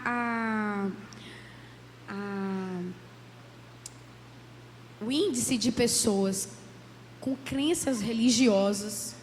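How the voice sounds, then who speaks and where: Hoarse female psychologist, fairly high voice, earnest lecture in a reverberant hall with faint noise. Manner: earnest, many "né?" tags. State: hoarse from a cold. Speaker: psychologist giving a church talk. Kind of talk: church lecture. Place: church hall.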